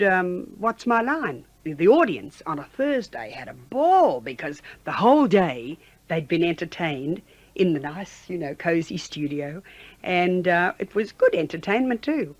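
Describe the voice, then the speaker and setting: An Australian woman in her sixties reminiscing in a chatty, halting conversational way, as a former radio announcer being interviewed. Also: fairly low pitch, big pitch swings, no echo. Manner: chatty, halting, with frequent "you know".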